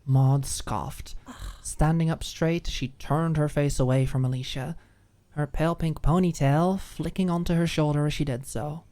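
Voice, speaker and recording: A woman reading a scripted story as an audiobook narrator, in an expressive ASMR storytelling style. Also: deep voice, moderate pace.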